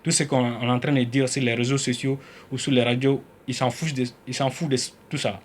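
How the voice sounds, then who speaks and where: A man from Mali addresses his fellow citizens on politics in a mid-pitched voice. He starts slow and deliberate, then grows loud and heated, with frequent pauses and filler sounds. A faint hiss of background noise runs under the recording. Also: level tone. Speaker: citizen activist.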